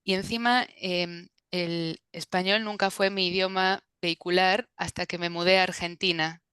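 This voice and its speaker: Soft-spoken Galician woman, very clear voice. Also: lowish voice, steady tone, moderate pace, no room sound, studio quality. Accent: Galician Spanish. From Galicia, Spain. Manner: thoughtful, searching for words, many fillers. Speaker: translator. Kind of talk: conversational interview answer.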